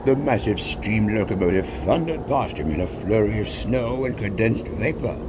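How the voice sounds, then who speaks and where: A man narrates a story dramatically in a low voice with wide, lively swings of intonation. Loud vehicle-like rumble and ambient noise run behind him. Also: normal speed. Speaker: fan fiction narrator.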